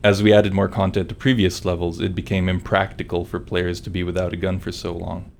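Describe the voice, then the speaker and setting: A man's voice: deep voice, completely flat delivery, fairly quick, gravelly, a little hiss or hum. A man giving scripted commentary as a game developer.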